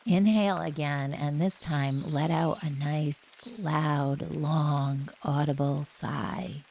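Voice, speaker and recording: A woman guiding a relaxation meditation in a gentle, soothing manner, speaking in short phrases with long pauses. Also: sits low, vocal fry. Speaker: yoga nidra guide. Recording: steady rain noise behind the voice. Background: rain ambience.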